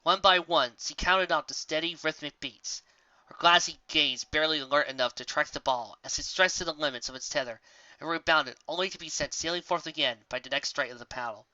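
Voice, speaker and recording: A storyteller reads scripted fiction with theatrical expression. The voice is bright and mid-pitched. It sits in a very dry recording that sounds a little dull. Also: brisk, no room sound. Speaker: audiobook reader. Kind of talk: scripted narration of fan fiction story, dramatic.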